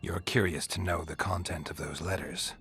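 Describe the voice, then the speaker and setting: An actor playing a gruff, sardonic monster hunter in a video game, with a deadpan, gravelly delivery. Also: male voice, low voice, normal speed. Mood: dry, wary. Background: music.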